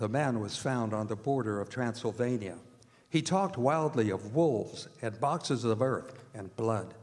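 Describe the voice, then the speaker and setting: A haunted, grave doctor played by a man recounts a gothic horror tale in measured radio-drama narration. His medium-pitched voice rings out in a hall with long reverb. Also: a little mellow, lightly acted. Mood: formal, grave. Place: performing arts center stage.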